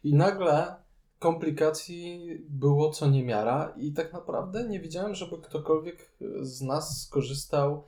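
A man's voice: a little high, measured pace, mellow. A man co-hosting a casual discussion about games, talking in an analytical, conversational way. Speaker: podcast co-host. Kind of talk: casual board game discussion.

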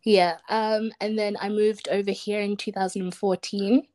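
Young woman from zimbabwe, podcast guest, chatty, like like like, mid-pitched. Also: flat delivery, a little slow, a little mellow. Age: young adult. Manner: chatty, says "like" constantly. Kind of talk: casual interview.

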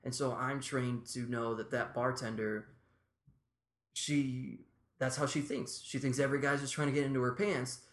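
A man's voice: medium pitch, flat delivery, moderate pace. A man hosting a podcast, talking casually and explaining his views at length. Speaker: podcast host. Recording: roomy echo.

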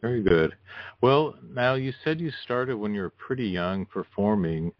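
A man with a deep voice asks questions in a conversational interview style. He is encouraging, dropping in small affirmations. The audio is dry and slightly muffled. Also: expressive, normal speed, no room sound.

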